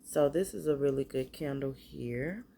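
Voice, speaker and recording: A woman with a stuffy cold, casually showing off the spiritual bath and body products she makes, talking in a halting, hesitant way. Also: rumbling low, vocal fry, mellow, slight background noise.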